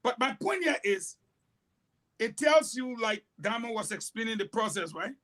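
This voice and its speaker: High-voiced man. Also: moderate pace. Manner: animated storytelling, emphatic broken sentences. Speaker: panelist. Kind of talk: heated panel discussion.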